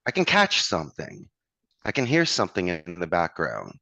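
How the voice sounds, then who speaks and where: A man's voice: low-pitched, huge pitch swings, moderate pace, gravelly, bright, forceful tone, no echo. A man with a Philadelphia accent, a guest on an interview who talks in an expansive, motivational way. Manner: expansive, motivational, addresses host by name. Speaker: call center owner, guest. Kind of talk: conversational interview.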